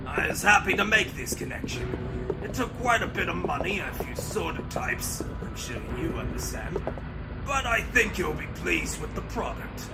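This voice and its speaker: A man's voice: high voice, sing-song, moderate pace, vocal fry, loud music behind the voice, heavily acted. A man playing a scheming, cowardly crime boss in an audio drama, theatrical and blustering. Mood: smug. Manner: theatrical, swinging from smug to frantic.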